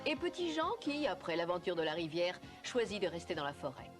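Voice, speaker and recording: A narrator telling a scripted children's story in an animated film. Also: medium pitch, a little fast. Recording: clear music bed under narration. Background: music.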